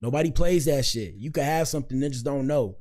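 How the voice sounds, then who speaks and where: A man's voice: medium pitch, expressive, fast talker, darkish tone. Guy doing a reaction stream, slangy, cusses, says stuff over and over to make his point. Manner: slangy, swearing, repeats himself emphatically. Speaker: livestream show host. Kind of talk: casual reaction commentary.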